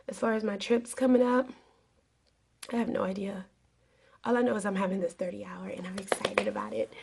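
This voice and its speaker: Raspy woman with a cold. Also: medium pitch, darkish tone. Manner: rambling, earnest, with many fillers. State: getting over a cold. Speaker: flight attendant vlogger. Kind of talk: casual advice vlog.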